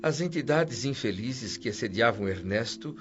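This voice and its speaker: A man's voice: medium pitch, expressive, normal speed, natural voice. A man playing an earnest, wondering newcomer spirit in a radio drama, speaking with a Brazilian accent. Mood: amazed. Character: earnest male newcomer spirit, astonished eager pupil. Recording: dull lo-fi audio with music. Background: clear background music.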